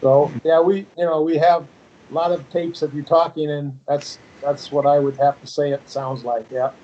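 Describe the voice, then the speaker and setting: A man's voice: fairly high pitch, level tone, normal speed, dark tone, dull audio, slight background noise. A man speaking as a guest in casual chat, hesitant and restarting often.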